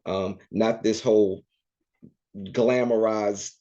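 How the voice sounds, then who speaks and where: Low, dark-voiced man, earnest and reflective. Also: fairly even intonation, slow. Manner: earnest and reflective, with soft laughs. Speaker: podcast guest, entertainer and author. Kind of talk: casual interview conversation. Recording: dull lo-fi audio.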